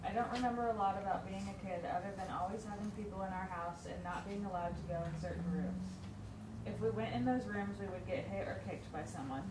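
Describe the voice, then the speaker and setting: A woman participant at a training, reading out a first-person character profile. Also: medium pitch. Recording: noisy echoey room, far from mic.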